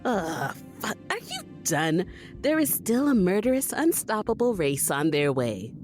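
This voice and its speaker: A woman's voice: mid-range voice, sing-song, a little slow, darkish tone, soft background music. A woman playing a blunt, exasperated, foul-mouthed comrade in an audio drama.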